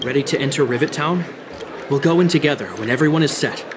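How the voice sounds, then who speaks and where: Actor as a dutiful captain amid noisy bustle. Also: male voice, a little high, fast, close to an everyday voice. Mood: alert, businesslike. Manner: formal, commanding. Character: dutiful, disciplined military captain man. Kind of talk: video game dialogue acting. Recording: busy outdoor noise and voices behind.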